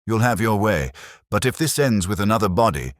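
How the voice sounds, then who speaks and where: A man voicing a gruff, sceptical police inspector in a detective audiobook, blunt and grudging. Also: on the low side, big pitch swings, fairly quick, no echo, clean studio sound, voice pushed up.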